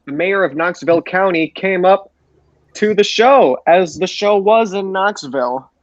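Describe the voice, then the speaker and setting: Male podcast host, moderately high register, casual recap style, somewhat muffled lo-fi sound. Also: animated, measured pace, dry recording. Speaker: podcast host leading the roundup.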